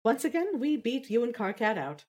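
A woman's voice: on the high side, very animated, normal speed, darkish tone. A woman playing a happily married, playfully smug young woman in a fan audio drama. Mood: teasing, smug.

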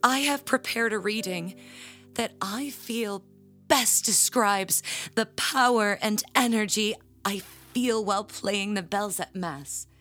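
A woman playing an earnest, nervous churchgoer in an audio drama, halting at times and speaking with formal, theatrical solemnity. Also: mid-range voice, sharp tone, faint music behind. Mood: grandiose.

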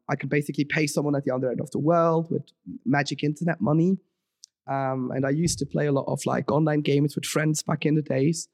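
Gravelly young Swiss man, rambling. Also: on the high side, a little mellow, no echo, clean studio sound. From Switzerland. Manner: rambling, many ums and restarts. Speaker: podcast guest. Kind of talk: casual interview talk.

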